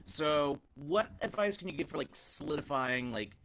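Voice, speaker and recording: A man's voice: fairly high pitch, animated, moderate pace, a bit bright, slight background noise. A man attending a workshop, asking a question hesitantly as he thinks aloud. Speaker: workshop participant.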